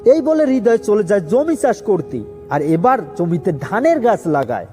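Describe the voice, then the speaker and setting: A man's voice: sits high, big pitch swings, normal speed, dark tone. A man reading scripted storybook narration for a cartoon tale. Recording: long reverb with music behind.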